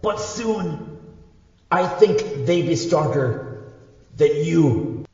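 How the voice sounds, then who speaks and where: A man voicing a weary, dying old priest in a radio play, weak and wheezing, in halting broken English. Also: a little high, expressive, a little mellow, very echoey, long reverb tail, muffled recording, pitched above the performer's own voice, full character voice. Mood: solemn, weary. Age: older. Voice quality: weak, wheezing, labored breath with coughs.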